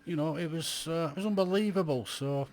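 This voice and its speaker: A man's voice: on the high side, brisk, a little mellow. A British man in his fifties recalling his years in radio in a chatty, rambling way, full of fillers and self-mocking asides. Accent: British English. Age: 50s. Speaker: radio hobby vlogger. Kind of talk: reminiscing monologue.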